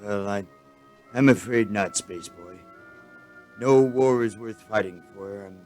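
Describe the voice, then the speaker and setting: A low-voiced, courtly alien chancellor, acted by a man in a radio play. He is humble and gloomy, speaking formally and haltingly over faint music. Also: darkish tone. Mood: courtly, resigned.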